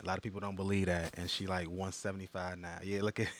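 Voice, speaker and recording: Very deep-voiced man in his thirties. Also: lively intonation, fairly quick. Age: 30s. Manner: teasing, playful. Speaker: guest. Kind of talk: casual chat.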